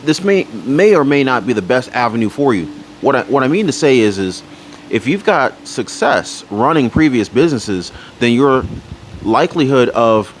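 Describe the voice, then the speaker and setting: A man's voice: medium pitch, fairly bright tone, a little hiss or hum. A man hosting a personal finance channel, talking casually to his viewers and often restarting his sentences. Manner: rambling with frequent restarts and fillers. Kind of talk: casual talk to camera.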